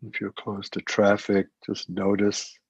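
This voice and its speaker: A man leading a guided meditation as a teacher, giving gentle, unhurried instructions with long pauses. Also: lowish voice, completely flat delivery, vocal fry, breathy edge, no room sound. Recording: muffled, dull lo-fi audio.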